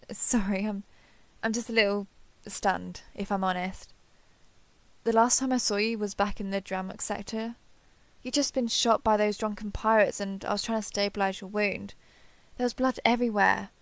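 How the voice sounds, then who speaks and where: Woman acting earnest starship captain, creaky voice. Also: medium pitch, no echo. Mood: stunned, tender. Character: warm, earnest female alien starship captain, sentimental. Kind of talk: roleplay audio drama, one-sided dialogue.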